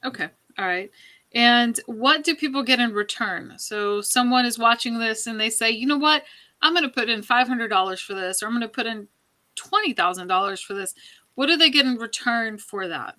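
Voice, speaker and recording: A woman's voice: a little high, lively intonation, normal speed, pushed and bright. A woman interviewer asking conversational questions, opening with an okay and restating details to confirm them. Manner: acknowledges with okay, then restates and checks details.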